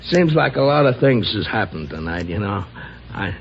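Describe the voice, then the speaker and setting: Gentle punch-drunk boxer, American, low, stammering, childlike; muffled vintage radio drama. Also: male voice, big pitch swings, moderate pace, vocal fry. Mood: weary, insistent. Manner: stammering, repeating words, childlike and pleading. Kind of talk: old-time American radio drama acting. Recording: dull, noisy old radio recording.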